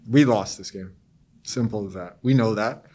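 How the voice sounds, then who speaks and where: A young man with a lowish voice gives post-game answers at a press conference. He speaks in a measured, steady way, often pausing on fillers. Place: press room before a sponsor backdrop.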